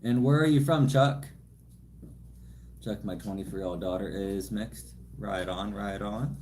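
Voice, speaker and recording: A man's voice: fairly low pitch, measured pace, a little mellow, slight background noise. A man from California hosting a casual live Q&A from home, rambling and self-deprecating as he reads viewer comments into a phone set a little way off. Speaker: livestream host. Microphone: phone set some distance away.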